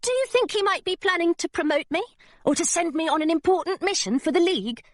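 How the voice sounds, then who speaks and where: A woman's voice: very high-pitched, animated, quick pace, no room sound, clean studio sound, voice pushed up. A woman voicing an eager, polite and spirited young pirate girl in a storybook. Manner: eager, well-mannered.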